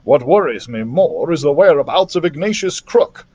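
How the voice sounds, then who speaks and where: Man playing an earnest, expressive storybook doctor. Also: a little high, moderate pace, breathy edge, dull audio. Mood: worried, practical. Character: earnest adult male doctor, worried but practical adventurer. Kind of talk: children's storybook reading.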